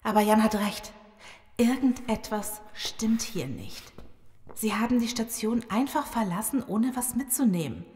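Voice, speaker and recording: A woman's voice: a little high, lively intonation, measured pace, a little mellow, slight background noise. Earnest woman scientist, cavernous reverb. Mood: uneasy. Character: earnest woman virologist surviving a zombie apocalypse. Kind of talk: audio drama acting.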